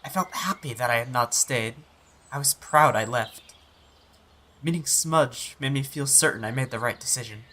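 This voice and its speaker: A man's voice: medium pitch, moderate pace, bright, forceful tone, a little hiss or hum, close to an everyday voice. Man as an earnest young tomcat apprentice. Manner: earnest, youthful. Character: eager young male apprentice cat, earnest and playful. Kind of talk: audiobook fan-dub voice acting.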